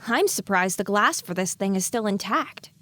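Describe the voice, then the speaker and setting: A woman voicing the innocent, cheeky inner thoughts of a girlish young pony in an audio drama, playful and expressive. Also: mid-range voice, moderate pace, no echo, natural voice. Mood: curious, musing.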